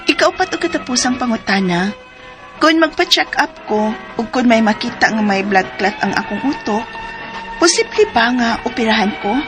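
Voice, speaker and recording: A woman plays a troubled teenage schoolgirl with a Cebuano accent. Her voice is high and plaintive, acted for radio drama, with music clearly underneath. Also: animated, normal speed, lightly acted. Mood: troubled, earnest.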